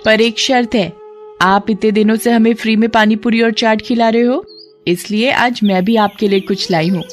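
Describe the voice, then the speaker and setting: A woman's voice: on the high side, fairly even intonation, normal speed, soft background music, close to an everyday voice. A woman voicing a brave young girl. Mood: defiant. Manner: bright, raised, urgent.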